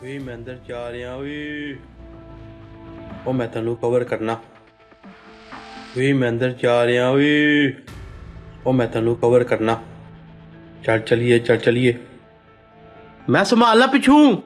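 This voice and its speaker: An actor playing an excitable male Punjabi soldier in a mobile game, barking comic, commanding battle callouts. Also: mid-range voice, slow pace, pauses often, natural voice. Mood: firm, urging. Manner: exclamatory, commanding. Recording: game audio with music behind.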